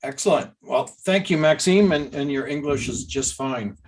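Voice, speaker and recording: A man's voice: a little high, moderate pace, recorded from a distance. Man chairing a video meeting. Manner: friendly, relaxed, moves the agenda along.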